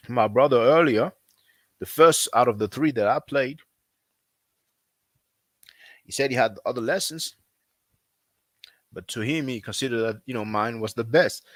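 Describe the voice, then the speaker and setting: A man who is not a native English speaker, talking casually as a language teacher, repeating himself often and filling pauses with "you know.". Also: mid-range voice, no room sound. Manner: repetitive, conversational, frequent fillers. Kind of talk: casual promotional talk.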